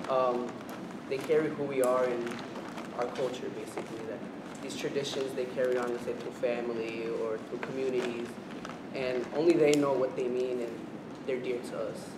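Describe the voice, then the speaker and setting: A young man reads out his reflection in a halting, hesitant way. The recording is loud with background noise and has a roomy echo from a distance. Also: mid-range voice. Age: young adult. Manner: hesitant, many fillers. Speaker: student. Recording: noisy echoey room, distant mic.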